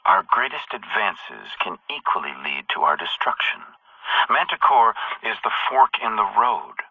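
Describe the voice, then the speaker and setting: A man playing a ruthless, grandiose military commander in a game, delivering a deliberate, menacing, oratorical monologue through a radio-style filter. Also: fairly low pitch, big pitch swings, gravelly, breathy edge, no echo, heavily acted. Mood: philosophical, ominous. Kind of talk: dramatic scripted audio-log monologue. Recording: tinny radio-like band, dry.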